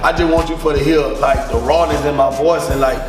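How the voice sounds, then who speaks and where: A deep-voiced rapper from Louisiana with a Southern accent, talking loosely in an interview and leaning on filler words. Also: male voice, fairly even intonation, a little fast. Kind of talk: casual interview. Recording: roomy echo from far off with music.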